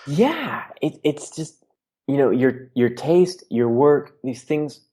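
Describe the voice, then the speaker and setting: A man's voice: mid-range voice, animated, slow, warm tone, slightly rough. A man talking earnestly as a guest artist on a podcast, musing on art, honesty and creative work. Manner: earnest, philosophical. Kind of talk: podcast conversation.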